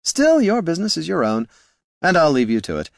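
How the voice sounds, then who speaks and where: A whimsical bard voiced by a male narrator, smug and in love with romance. His voice is raised and very animated, lingering theatrically as in a fantasy storybook. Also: fairly high pitch, a little fast, vocal fry, no echo, studio quality, voice pushed up, exaggerated voice. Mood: smugly discreet. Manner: theatrical, sighing, lingering on words.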